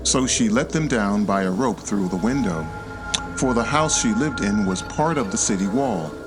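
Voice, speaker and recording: A man's voice: on the low side, animated, normal speed, over music. A man narrating scripture as a scripted, dramatized audiobook.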